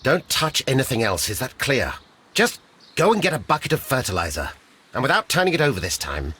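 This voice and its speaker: An actor playing a curt, bossy deputy director of botanic gardens in a video game, officious and formal. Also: male voice, medium pitch, wildly swooping pitch, a little fast, bright, forceful tone, slightly rough, exaggerated voice. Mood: bossy, irritated.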